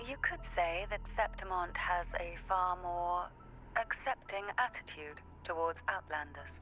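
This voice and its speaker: A composed, knowing noblewoman from a video game, with a clear bright edge to her voice. She speaks formally and elegantly, unhurried and courteous, with music softly behind her. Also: fairly low pitch. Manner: measured, formal, elegant phrasing. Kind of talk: scripted video game story dialogue.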